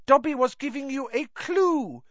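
A man voicing a small, devoted male house-elf servant in an audiobook, shrill and emphatic, speaking of himself in the third person. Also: unhurried, a bit bright, dry recording, dull audio. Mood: earnest. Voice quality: shrill, squealing.

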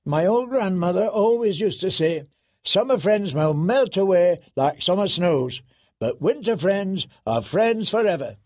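A man's voice: sits high, animated, measured pace, a little mellow, dry recording, studio quality, voice pushed up. A man voicing a plain-spoken, respectful guard in an audiobook, with a rustic, folksy manner.

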